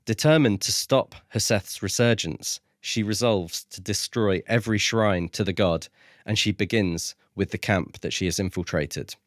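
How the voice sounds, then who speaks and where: A man's voice: fairly low pitch, moderate pace, no echo, clean studio sound. A man co-hosting a podcast, recapping and casually discussing a book. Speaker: podcast co-host. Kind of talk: casual chat.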